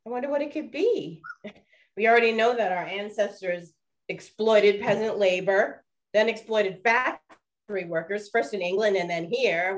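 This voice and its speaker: A woman's voice: mid-range voice, close to an everyday voice. A woman playing a chatty, wistful lady detective of the 1920s in a radio-style play. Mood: musing.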